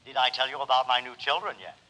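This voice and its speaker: A man's voice: medium pitch, steady tone, quick pace, bright, forceful tone. An actor voicing a devoted, proud and defiant male robot servant in a 1950s radio drama. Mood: proud, eager. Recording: 1950s radio recording, long reverberant tail.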